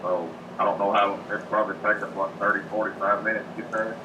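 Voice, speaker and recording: A man's voice: on the low side, little pitch movement, fast talker, pushed and bright. A man chatting casually and informally on a phone call played through a speaker. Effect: phone call through a car radio speaker. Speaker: phone caller. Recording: dull, noisy phone call over speaker.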